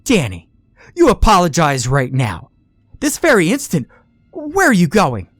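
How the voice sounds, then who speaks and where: A man's voice: high voice, wildly swooping pitch, slow, dry recording, clean studio sound, soft background music, pitched above the performer's own voice, heavily acted. A man voicing a stern, angry mother who scolds her son in a storybook reading. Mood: furious. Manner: scolding, sharp. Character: angry stern mother, protective of little girl.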